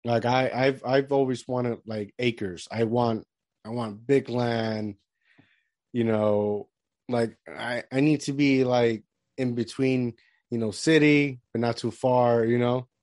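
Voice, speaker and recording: A man in his thirties who grew up in Puerto Rico talks in a loose, rambling way, stopping and restarting his sentences with lots of fillers. His voice sits at an ordinary pitch. It is recorded close and dry, with no room sound. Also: fairly even intonation, takes it slowly, darkish tone, dry recording. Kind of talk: casual chat.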